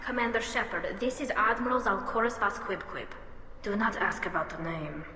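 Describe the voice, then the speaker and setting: A woman's voice: mid-range voice, animated, moderate pace, bright, forceful tone. An actress playing an earnest, respectful young alien woman in a video game, anxious but spirited. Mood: respectful, firm. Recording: echoey hall, faint music behind.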